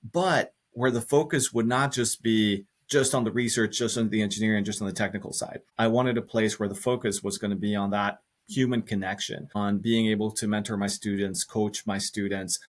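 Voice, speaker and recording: A man's voice: on the low side, steady tone, moderate pace, few pauses. A middle-aged man from Costa Rica answering reflectively as an interview guest, earnest and often repeating words as he thinks aloud. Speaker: podcast guest, engineering professor.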